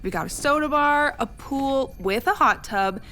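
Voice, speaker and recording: A woman's voice: high-pitched, lively intonation, unhurried, bright, forceful tone, a little hiss or hum, heavily acted. An actress playing a breezy, teasing young female student in an audio drama, casual in manner. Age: young adult.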